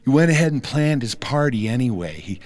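A man telling a story live in a folksy, deliberate, playful way, with plucked guitar behind him. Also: mid-range voice, expressive, darkish tone. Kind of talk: live musical storytelling for an audience. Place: studio with audience.

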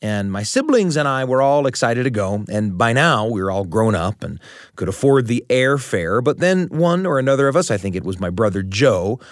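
A middle-aged American man in a storyteller's role, speaking with a very lively, swooping pitch. His phrasing is deliberate and literary, leaning on dramatic repetition. The sound is dry and close, like a radio narration. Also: dry recording. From United States. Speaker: radio show host and storyteller.

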